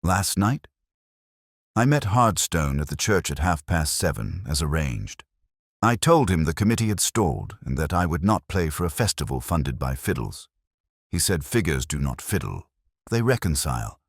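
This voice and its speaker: A man voicing a belligerent, pompous church organist in an audiobook mystery, sharp and disdainful in manner. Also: rumbling low, very animated, normal speed, no room sound, clean studio sound, exaggerated voice. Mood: belligerent, indignant. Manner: sharp, snapping, disdainful, theatrical.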